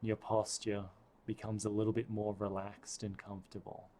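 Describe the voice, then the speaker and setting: A man guiding a breathing meditation, speaking softly and soothingly with long pauses. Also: fairly low pitch, little pitch movement. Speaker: meditation guide.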